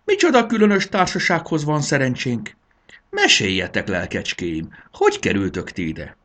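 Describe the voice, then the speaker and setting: A man voicing a cantankerous, blustering ancient dragon from a children's storybook, with a croaking, bellowing delivery. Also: mid-range voice, wildly swooping pitch, a bit bright, pitched above the performer's own voice. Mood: suspicious curiosity. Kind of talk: dramatized children's storybook reading.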